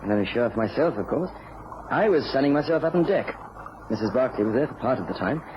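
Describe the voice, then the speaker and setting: An actor playing an earnest young gentleman's secretary in a radio drama, speaking politely and a little hesitantly. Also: mid-range voice, lively intonation, fast, natural voice. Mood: shaken. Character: earnest, bewildered young male yacht secretary. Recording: noisy, dull lo-fi radio recording.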